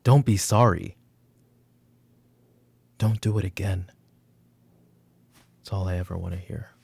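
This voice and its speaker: A man playing a stern, controlling middle-aged mafia boss and protective father in an ASMR roleplay, with commanding, deliberate, menacing delivery. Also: low-pitched, gravelly, a little mellow, slightly rough, heavily acted. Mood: quietly intense.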